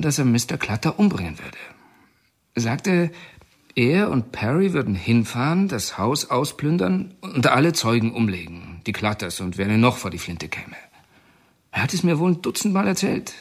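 A man's voice: medium pitch, big pitch swings, moderate pace, husky. An actor playing a male prison informant in a radio drama, speaking in a confessional, uneasy manner.

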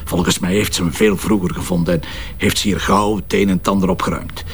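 Wry Flemish police commissioner. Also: male voice, deep voice, fairly even intonation, fairly quick, creaky, slight background noise. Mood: dry, impatient. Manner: sardonic, colloquial. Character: wry, beer-loving male police commissioner. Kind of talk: naturalistic radio drama dialogue.

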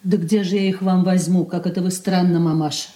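An actress in a radio drama playing a resentful, self-righteous married daughter with an aggrieved, scolding manner. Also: female voice, lowish voice, steady tone, a little fast, warm tone, lightly acted. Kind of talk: Russian stage-style radio drama acting.

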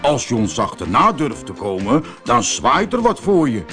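A man playing a boastful, naive but soft-hearted thief in a children's fairy tale, with mock menace. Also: medium pitch, wildly swooping pitch, moderate pace, faint music behind. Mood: mock threatening. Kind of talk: storybook character voice.

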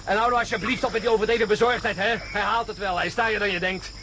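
An actor playing an exhausted, irritable astronaut in a radio drama, curt and sarcastic in manner. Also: male voice, sits high, fairly even intonation, fast, noisy background. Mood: irritated, weary. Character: exhausted, irritable male astronaut, cynical and snappish.

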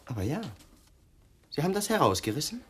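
Needling detective, restlessly swooping voice. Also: male voice, fairly high pitch, sing-song, brisk, a bit bright, breathy edge, full character voice. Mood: probing. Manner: insinuating, mock-polite, probing. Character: pushy, needling male police detective, slyly insinuating. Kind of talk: German radio crime drama acting.

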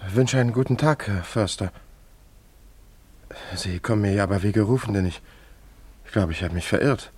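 An actor playing a lost young painter in a German radio play, polite, earnest and hesitant, with a bewildered, wistful air. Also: male voice, fairly low pitch, normal speed, pauses often, darkish tone, breathy edge, no room sound.

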